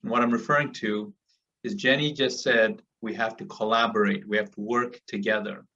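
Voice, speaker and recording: A man's voice: lowish voice, steady tone, normal speed, bright, forceful tone. A man from Africa giving an earnest, motivational speech to people on a group call, driving his points home through repetition. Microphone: call audio. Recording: muffled, distant call audio.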